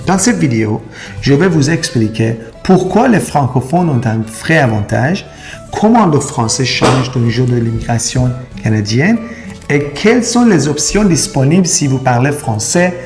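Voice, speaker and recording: Gravelly-voiced man. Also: medium pitch, sing-song, normal speed, music in the background. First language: Persian and Turkish. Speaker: immigration consultant. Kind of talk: explainer video to camera.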